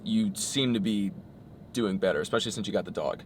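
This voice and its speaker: A man talking casually in a car, supportive and asking gentle follow-up questions. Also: fairly low pitch, brisk, a little hiss or hum. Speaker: vlogger interviewing a friend. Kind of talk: casual chat.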